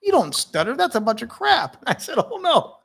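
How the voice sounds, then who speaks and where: A man hosting a live-stream conversation, talking in an energetic, emphatic way, laughing often and speaking openly about his stutter. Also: very high-pitched, normal speed, vocal fry, husky. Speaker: host.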